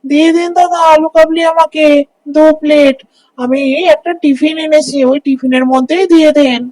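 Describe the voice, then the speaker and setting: A woman's voice: high voice, fairly even intonation, normal speed, a little mellow, dry recording, distant mic, natural voice, low-quality recording. A woman voicing a friendly mother shopping at a market in a cartoon story. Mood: eager. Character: friendly young mother, a regular market customer. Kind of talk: Bengali cartoon story voice acting.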